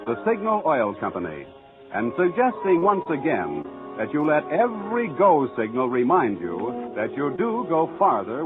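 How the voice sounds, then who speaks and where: A man announcing a sponsor message in the formal, scripted style of 1940s radio. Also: medium pitch. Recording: dull old radio broadcast with music.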